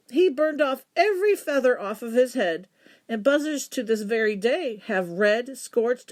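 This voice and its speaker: A woman reading a children's folktale as a storyteller, with dramatic, deliberate emphasis. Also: mid-range voice. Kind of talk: expressive children's folktale narration.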